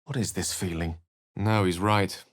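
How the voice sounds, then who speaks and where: Video game wizard: low-pitched, eloquent and courteous, bookish phrasing with a melancholy streak. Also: male voice, steady tone, measured pace. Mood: pensive, resolute. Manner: articulate, bookish, theatrical phrasing. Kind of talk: video game character voice lines.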